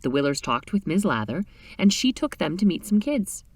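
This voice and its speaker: A woman reading a short story aloud in an expressive, dramatic storytelling style. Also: fairly low pitch, normal speed, no room sound, far from the mic. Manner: animated, dramatic reading with character voices.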